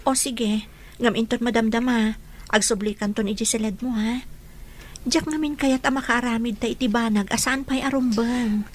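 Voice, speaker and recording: A woman's voice: a little high, steady tone, normal speed, slight background noise, lightly acted. A woman acting a young woman in love in a radio drama, tender and pleading. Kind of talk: 1970s-style broadcast radio acting.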